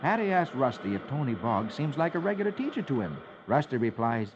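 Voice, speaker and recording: A man's voice: mid-range voice, sing-song, fairly quick, noisy background. A man narrating comic-strip stories as host, with theatrical delivery in 1950s radio style. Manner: theatrical storytelling. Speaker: host reading comics aloud. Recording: muffled old radio recording, music behind. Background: music.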